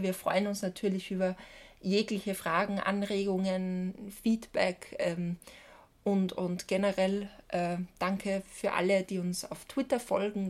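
A woman's voice: medium pitch, a little mellow. A young woman with an Austrian accent chatting casually and enthusiastically as a podcast co-host.

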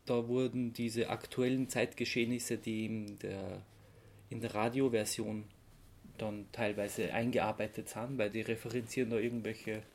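A man's voice: fairly low pitch, level tone, moderate pace. A man with an Austrian accent hosting a casual podcast, explaining his research in a hesitant, amused way as he searches for words. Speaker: podcast host presenting research.

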